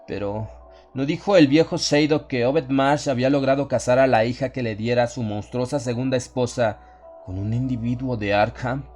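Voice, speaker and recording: A male audiobook narrator with a mid-pitched voice tells a horror story in the first person. His delivery is solemn and measured, with dramatic emphasis. Music plays faintly behind him. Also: darkish tone.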